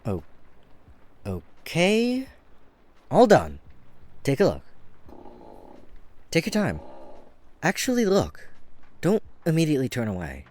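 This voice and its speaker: A man's voice: fairly high pitch, huge pitch swings, slow, pauses often, heavily acted. Man acting a flirty catboy hairdresser, swooping intonation. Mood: warm, reassuring. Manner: gentle, bashful, earnest, chuckling at himself. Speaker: voice actor. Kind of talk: flirty ASMR-style roleplay audio drama. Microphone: close studio mic.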